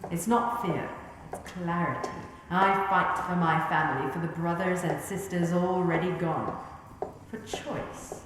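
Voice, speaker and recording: A low-voiced, weary female colonel played by an actress speaks with grave, clipped authority in a sci-fi radio play. Her pace is slow and deliberate, ringing through a noisy, echoing hall. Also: steady tone, natural voice. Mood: resolute. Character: stern, weary female rebel colonel, commanding and principled. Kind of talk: sci-fi audio drama acting. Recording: echoey hall, clear background noise.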